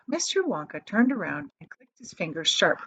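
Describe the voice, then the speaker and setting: A woman reading a children's story aloud as an expressive storyteller. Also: on the low side, unhurried, breathy edge. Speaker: storybook reader. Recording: slightly dull, dry recording.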